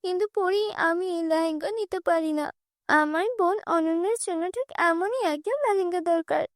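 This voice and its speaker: Woman voicing a sweet young cartoon girl, super high voice, soft and earnest. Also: a little fast, dry recording, studio quality. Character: kind gentle young woman heroine. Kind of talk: cartoon dubbing.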